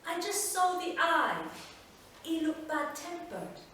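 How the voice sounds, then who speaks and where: Woman doing an old guy, chatty and teasing, kinda high, echoey hall sound. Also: very animated, slow pace, sharp tone, a little hiss or hum, heavily acted. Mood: friendly. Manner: friendly, teasing. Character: chatty old Scottish village man. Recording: echoey live room from far off.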